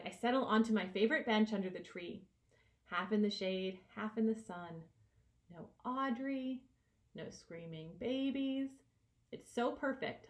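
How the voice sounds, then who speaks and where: A woman reads a middle grade novel aloud in an expressive, animated way. Her pitch moves around a lot as she plays up the young narrator's reactions, settling into a medium register between swings. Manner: animated, acts out dialogue and the child narrator's reactions. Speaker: author reading aloud from own novel.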